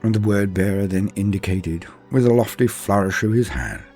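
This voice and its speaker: A man narrating a dark fantasy battle tale in a grave, theatrical way, with a husky voice. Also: on the low side, moderate pace. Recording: background music under the voice. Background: music.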